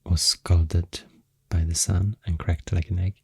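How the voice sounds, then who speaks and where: A man with a Swedish accent speaking softly and breathily, often close to a whisper, in a soothing ASMR ramble, close to the microphone. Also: flat delivery, no room sound. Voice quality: deep, soft, breathy, often near whisper. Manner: slow, hushed, soothing. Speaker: ASMR host. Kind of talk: soft-spoken ASMR rambling.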